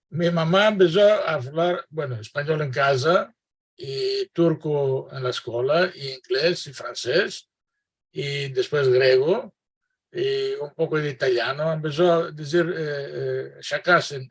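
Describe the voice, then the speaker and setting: Slow, gravelly older man, hesitant. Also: a little high. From Greece. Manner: hesitant, many fillers and restarts, laughs often. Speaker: guest linguist. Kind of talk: conversational interview answers. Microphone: video call.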